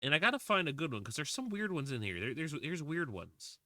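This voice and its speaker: Young male streamer in his twenties, casual filler-heavy chatter, bright voice, dry sound. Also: on the high side, big pitch swings, a little fast, no room sound. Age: 20s. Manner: rambling, with many fillers and restarts. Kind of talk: casual livestream chat while playing a game.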